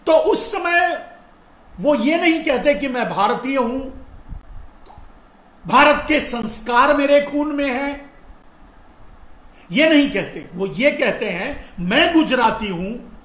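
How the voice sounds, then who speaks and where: A man's voice: very high-pitched, animated, slow pace, long pauses, fairly bright tone. A man giving opinionated political commentary to viewers, emphatic, repeating his phrases and asking rhetorical questions. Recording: echoey room.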